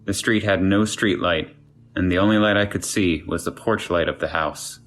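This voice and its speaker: A man's voice: deep voice, normal speed, a bit bright. A man reading a creepy first-person story aloud as a scripted narration. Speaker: guest narrator reading a submitted scary story. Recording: music playing under the voice. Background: background music.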